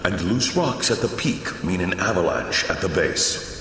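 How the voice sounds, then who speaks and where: An actor playing a solemn otherworldly keeper of spirit realms in a fantasy game, speaking in a formal, grave manner. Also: male voice, deep voice, animated, a little slow, a bit bright, slight background noise. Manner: formal, grave, speaks of self in third person. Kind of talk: scripted fantasy game dialogue. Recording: echoey long reverb, music behind.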